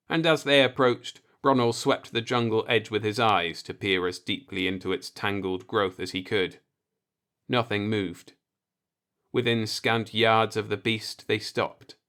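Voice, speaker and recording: A man's voice: fairly low pitch, fairly bright tone. A man reading a science fiction story aloud as an audiobook narrator.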